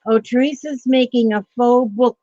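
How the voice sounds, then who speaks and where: A woman's voice: on the high side, steady tone, moderate pace, dark tone, no room sound. A woman hosting a casual livestream chat, rambling along with frequent ums and greeting viewers by name. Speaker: livestream host. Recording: dull lo-fi sound.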